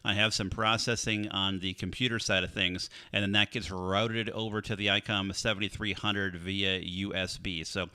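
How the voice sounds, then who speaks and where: A man hosting live ham radio contacts from his home radio shack, talking in a friendly, brisk operating manner into a processed studio microphone. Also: low-pitched, fairly even intonation, pushed and bright, clear, resonant tone, no echo. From Minnesota, US. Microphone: studio dynamic mic with processing.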